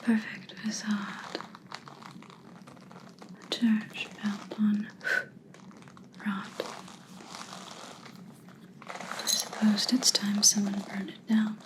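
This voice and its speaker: A woman playing a cold, menacing vampire hunter in a whispered ASMR roleplay, speaking slowly and deliberately with quiet threat. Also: medium pitch, monotone. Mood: quietly menacing.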